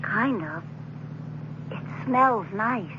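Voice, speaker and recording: Casting: uncanny orphan boy, childlike and insistent, radio-drama acting on a muffled, clearly noisy old recording. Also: medium pitch, expressive, extremely slow. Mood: quiet wonder. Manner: insistent, simple childlike phrasing. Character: eerie, earnest orphan boy with healing powers.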